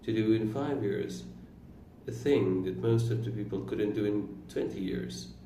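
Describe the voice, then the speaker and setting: Slow, reflective young man, roomy sound. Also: lowish voice, dark tone. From Iran. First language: Turkish. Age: 20s. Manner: slow, halting, with pauses and self-corrections. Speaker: vlogger. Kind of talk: reflective personal monologue. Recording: roomy, distant mic with faint room noise.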